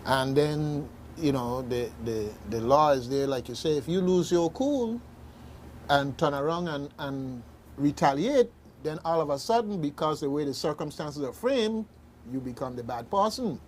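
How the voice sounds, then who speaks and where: A man hosting a serious community radio discussion, speaking in a halting, emphatic way and repeating phrases deliberately. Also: fairly high pitch, expressive, a little mellow, a little hiss or hum. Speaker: radio talk show host.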